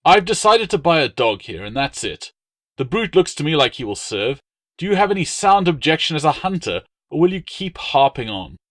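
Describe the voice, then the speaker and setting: Man voicing a bluff, pompous Roman nobleman, pitched up. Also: very animated, normal speed, fairly bright tone, no room sound. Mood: impatient, commanding. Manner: pompous, blustering, hearty. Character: bluff, overbearing aristocratic Roman legate, jovially bloodthirsty. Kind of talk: audiobook character dialogue.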